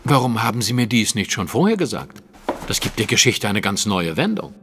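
An actor playing a shrewd, self-assured detective who explains things precisely in a German audio drama. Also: male voice, fairly low pitch, very animated, fairly quick, long reverb tail, background noise. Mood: assured, explaining. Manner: precise, lecturing, deductive.

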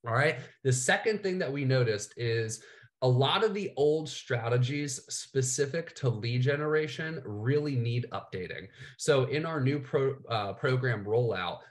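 A man in his thirties with a cold, presenting slides in a casual way, saying "all right" and "uh" a lot. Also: mid-range voice. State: has a cold, slightly nasal, coughing. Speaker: business coach presenting to coaches. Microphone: webcam, screen-share video call.

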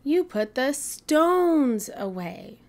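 A woman's voice: high voice, very animated, drawn-out words, creaky, a little mellow, voice pushed up, exaggerated voice. A woman voicing a helpful young boy stegosaurus in a storybook, stressing key words as he corrects his friend. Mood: correcting.

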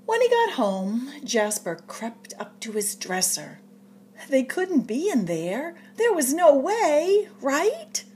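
Lady doing storytime for kids, really swoopy dramatic reading, takes her time, bit of noise in the room. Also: sits high. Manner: expressive, dramatic storyteller delivery with drawn-out suspenseful phrases. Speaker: storytime reader at a public library. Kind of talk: animated picture-book read-aloud for children.

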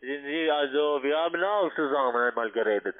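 A man, not a German speaker, works the phone as a call-centre agent. His voice sits fairly high for a man and comes through thin and narrow over the line. He is curt and insistent, with halting phrasing. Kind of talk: phone sales call, argumentative. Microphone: telephone.

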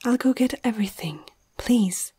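A woman's voice: fairly high pitch, lively intonation, moderate pace, warm tone, husky, no echo. A woman playing a nervous but caring young vet in an ASMR roleplay, hesitant and often half-whispering close to the mic. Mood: reluctant, reassuring. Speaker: amateur ASMR roleplay performer.

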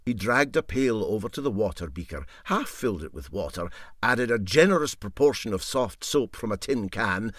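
A man with a Scottish accent reading a story aloud in an expressive narrating style. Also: fairly low pitch, moderate pace, no room sound, studio quality.

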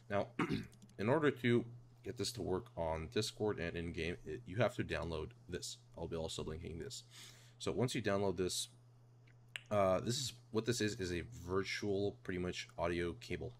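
Male tutorial host, low-pitched, informal and instructional, slight hum behind the voice. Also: moderate pace. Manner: informal, step-by-step explaining. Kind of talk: casual screen tutorial narration. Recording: clear background noise and hum.

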